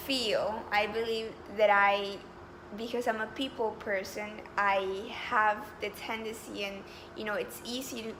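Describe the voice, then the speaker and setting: A woman's voice: mid-range voice, background noise. A woman in her twenties from Colombia, answering interview questions in an eager, talkative way full of fillers. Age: 20s. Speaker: job candidate. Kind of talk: mock job interview.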